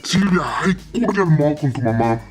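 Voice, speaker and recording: Woman putting on a deep, bossy dad. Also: animated, moderate pace, darkish tone. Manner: commanding, instructive. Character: stern bossy Mexican father giving orders.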